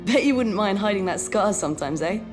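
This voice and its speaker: An actress playing a cheeky, upbeat young woman who hunts dark wizards in a video game, with a British accent. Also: medium pitch, lively intonation, a little fast, music in the background, close to an everyday voice. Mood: cheerful, teasing. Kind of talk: video game cutscene acting.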